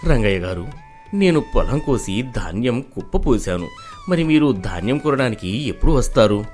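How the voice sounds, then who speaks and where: Poor anxious farmer acted by a man for a story video: humble, weary, pleading, with wide pitch swings. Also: very animated, moderate pace, darkish tone, soft background music, close to an everyday voice. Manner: pleading, sorrowful.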